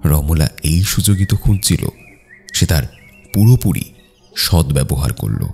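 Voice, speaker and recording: Deep, creaky, mellow male storyteller. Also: very animated, a little slow, faint music behind. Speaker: narrator. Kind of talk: scripted cartoon storytelling narration.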